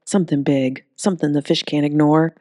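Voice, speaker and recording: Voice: mid-range voice, fairly even intonation, fairly quick, mellow, no echo, clean studio sound, close to an everyday voice. A narrator voicing an earnest, indignant young man who speaks with emphatic urgency, like an audiobook hero. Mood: urgent.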